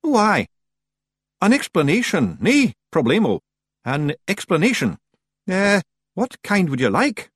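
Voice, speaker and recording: A man voicing an excitable little male fairy clan leader with a Scottish accent, nervous and boastful, in an audiobook. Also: sits high, moderate pace, husky, no echo, voice pushed up, full character voice. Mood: nervous, evasive. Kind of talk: audiobook character voice.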